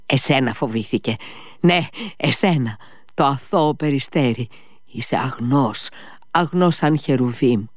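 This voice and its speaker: A man voices a feverish, agitated brother who rambles on in a strained, rising voice that slips into high whispers. The sound is dry and close, with no room around it. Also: pauses often, a bit bright, slightly rough, dry recording.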